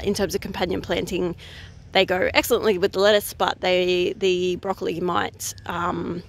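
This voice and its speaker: A woman in her thirties chats casually outdoors in a rural garden, recorded on a phone held at arm's length. She talks in a relaxed, unscripted way, stopping often with ums while she thinks aloud. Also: on the low side. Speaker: gardening vlogger.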